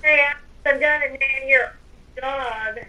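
A woman's voice: high-pitched, slow pace, pushed and bright, recorded from a distance, muffled recording. A young woman playing a character in a game roleplay, chatting playfully and rambling. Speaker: roleplay player. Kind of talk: casual in-character roleplay chat.

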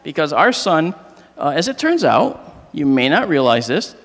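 A middle-aged man with a slightly hoarse voice gives a joking, animated astronomy lecture on stage into a handheld mic. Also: fairly high pitch, unhurried. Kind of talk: public lecture with jokes. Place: large hall, on stage. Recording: long reverb of a large hall.

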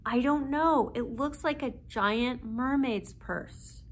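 A woman's voice: high-pitched, takes it slowly, slight background noise, pitched above the performer's own voice. A woman voicing an eager young girl, a big sister in a children's storybook. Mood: excited.

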